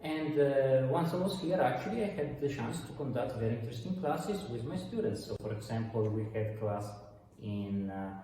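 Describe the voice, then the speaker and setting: A man's voice: medium pitch, lively intonation, moderate pace, warm tone, slight background noise. A man from Italy with an Italian accent, a university dean answering interview questions with many hesitations and restarts. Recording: echoey hall, voice from far off.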